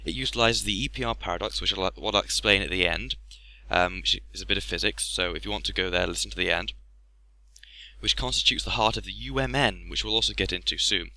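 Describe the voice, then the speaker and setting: A man's voice: deep voice, quick pace, creaky, bright, forceful tone, rough recording. A man giving informal explanatory commentary on a video game.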